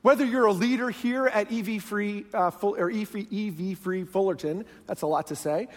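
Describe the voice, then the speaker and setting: A man's voice: high-pitched, normal speed. A man with a Canadian accent, preaching as a guest pastor in a self-deprecating, joking way. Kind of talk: humorous sermon. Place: large church sanctuary. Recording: long church hall reverb.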